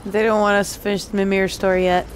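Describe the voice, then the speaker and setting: A woman's voice: mid-range voice, little pitch movement, quick pace. A young adult woman chatting casually and jokily as co-host of a game reaction. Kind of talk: casual, joking reaction chat. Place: indoor streaming setup. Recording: loud game music behind.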